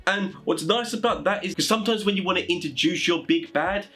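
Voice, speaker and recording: A man's voice: high voice, fairly quick, a bit bright. A man talking informally as a host giving tabletop game advice, in a chatty, rambling, enthusiastic way.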